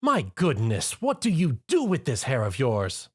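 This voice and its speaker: A man's voice: mid-range voice, huge pitch swings, measured pace, breathy edge, heavily acted. A man voicing a prim, refined fashion-designer mare with a posh, theatrical manner. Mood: fussy.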